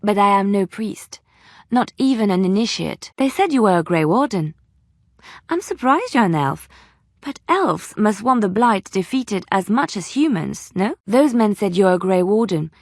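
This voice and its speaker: An earnest young woman of faith in a fantasy game. Her mid-pitched voice is gentle, polite and hopeful, recorded dry and close. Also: very animated, moderate pace, no echo. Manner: earnest, polite, softly pleading. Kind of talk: fantasy video game acting.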